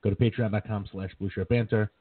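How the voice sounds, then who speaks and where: Joking podcast host, slightly sore throat. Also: male voice, fairly low pitch, fairly even intonation, fast, no echo. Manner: joking, self-mocking banter. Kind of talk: casual podcast chat. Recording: dry, tinny phone-like sound, a bit distant.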